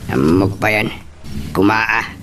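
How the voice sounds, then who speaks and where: A man's voice: lowish voice, slow pace, noisy background. A man acting a male confidant in an Ilocano radio love drama. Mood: conversational.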